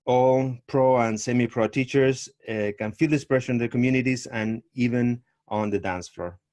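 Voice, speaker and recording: A middle-aged man from Argentina, a tango teacher speaking over a video call in a measured, thoughtful way with frequent pauses. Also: medium pitch, darkish tone. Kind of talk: online summit interview, presenting.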